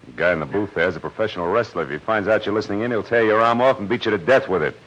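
A man's voice: fairly low pitch, racing, fairly bright tone. A man playing a tough, wisecracking private detective in 1940s radio style, wry, blunt and slangy. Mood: sardonic, commanding. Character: hard-boiled male private eye, tough and wisecracking. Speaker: lead actor and narrator of a detective series. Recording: dull vintage radio recording with music.

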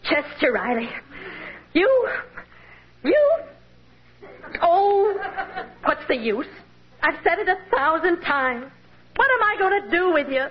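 An American actress playing a long-suffering, scolding yet loving working-class wife in an old radio sitcom, expressive and admonishing. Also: female voice, very high-pitched, takes it slowly, pauses often, full character voice. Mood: exasperated. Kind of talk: 1940s-50s American radio sitcom acting. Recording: muffled old radio broadcast.

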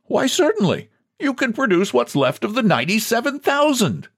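A man voicing a smooth, condescending middle-aged bank president who speaks in an easy, patronizing way, for a storybook reading. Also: very high-pitched, wildly swooping pitch, normal speed, no room sound, clean studio sound, pitched above the performer's own voice, heavily acted.

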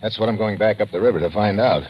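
An actor playing a tough, wry adventurer in an old radio drama, talking in terse, hard-boiled slang. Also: male voice, quick pace, lightly acted. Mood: brisk, watchful. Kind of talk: 1950s radio drama acting. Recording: old radio recording, muffled, boomy reverb, hiss.

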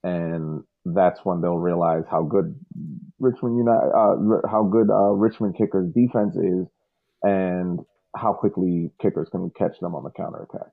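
A man talking soccer in a casual podcast chat, measured and with frequent ums. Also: low voice, flat delivery, gravelly. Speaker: podcast guest. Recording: tinny phone-like line.

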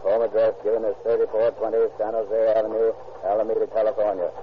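A man giving measured, dramatic scripted narration for a vintage radio crime drama. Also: lowish voice, flat delivery. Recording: dull old radio recording with music.

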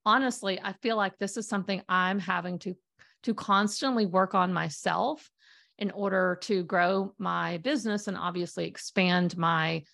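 A woman's voice: on the low side, creaky, fairly bright tone. A woman hosting a podcast interview, enthusiastic and effusive, with lots of fillers as she talks. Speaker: podcast host, storytelling coach.

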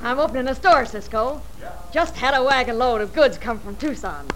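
Brassy, folksy frontier waitress. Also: female voice, fairly high pitch, animated, moderate pace, fairly bright tone, poor audio. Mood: hearty. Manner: folksy, bantering. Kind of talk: old-time radio western acting. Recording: hissy vintage radio recording.

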